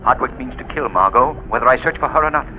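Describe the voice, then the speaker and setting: An actor playing a mysterious, commanding male avenger in a 1930s radio drama, speaking in a stern, deliberate manner. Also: mid-range voice, natural voice. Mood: urgent. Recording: tinny old 1930s radio recording with music.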